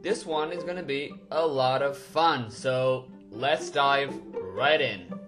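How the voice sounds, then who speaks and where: A man's voice: medium pitch, slow pace, over music. Young man reviewing films. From Portugal. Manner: enthusiastic, chuckling, with many fillers. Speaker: film reviewer. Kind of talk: casual movie review monologue.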